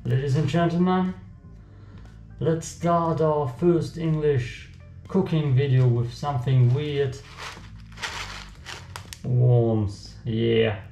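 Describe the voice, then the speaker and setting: Young man with a German accent, casual cooking vlogger, dry jokes, slow delivery, roomy kitchen sound. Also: medium pitch, steady tone, vocal fry, dark tone. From German-speaking country. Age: young adult. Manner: joking, self-talk while working. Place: small home kitchen, indoors. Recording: echoey kitchen, faint music.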